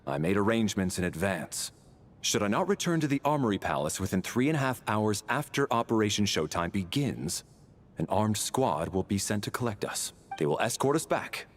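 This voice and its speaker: A man playing a strict, dutiful military sergeant in a video game, formal and deadpan. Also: lowish voice, normal speed, a little hiss or hum. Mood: matter-of-fact.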